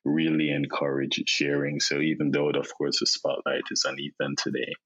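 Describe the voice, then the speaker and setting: A Jamaican man in his thirties, a podcast guest, talking in a relaxed way with many fillers and repeated words over a remote call. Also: extremely low pitch, normal speed, husky. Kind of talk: casual interview chat. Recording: dull lo-fi sound.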